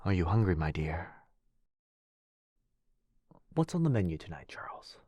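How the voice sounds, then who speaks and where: A man voicing a gentle, courtly male vampire lord in an ASMR boyfriend roleplay. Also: low voice, very animated, a little slow, husky, no room sound, heavily acted. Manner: soft-spoken, courtly and reassuring. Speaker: voice actor.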